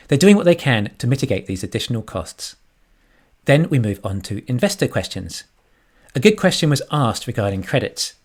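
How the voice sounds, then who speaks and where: A man's voice: mid-range voice, a little mellow. A man giving scripted financial commentary as an online analyst and narrator. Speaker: YouTube stock analyst.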